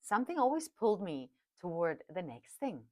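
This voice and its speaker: A woman's voice: lowish voice, big pitch swings, moderate pace, darkish tone, no room sound, clean studio sound. A middle-aged woman, an Afrikaans speaker, reading her own memoir aloud at home with reflective, chatty asides. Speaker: podcast host and author. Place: home dining room.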